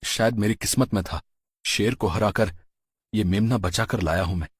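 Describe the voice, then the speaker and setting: A man's voice: on the low side, normal speed, husky, no room sound, clean studio sound. A man voicing a kind-hearted, humble farmer in a cartoon story, earnest and dramatic. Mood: fond.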